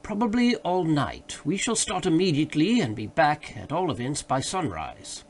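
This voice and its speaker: Man reading as pompous, excitable gentleman, lively pitch. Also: normal speed, husky. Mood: resolute. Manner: pompous, insistent. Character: excited, grandiloquent gentleman obsessed with a strange quest. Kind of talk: literary audiobook character dialogue.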